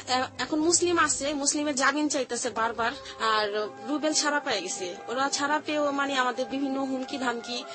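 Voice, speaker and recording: Bangladeshi woman guest explaining stuff in everyday repetitive phrasing, high voice, music underneath. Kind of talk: personal account in an interview. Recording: music playing behind the voice. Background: background music.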